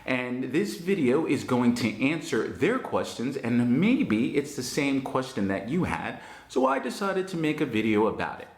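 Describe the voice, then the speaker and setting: A man with a Northern New Jersey accent, giving an online English lesson in a slow, emphatic and encouraging way. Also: medium pitch, big pitch swings, roomy sound. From United States. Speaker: English teacher.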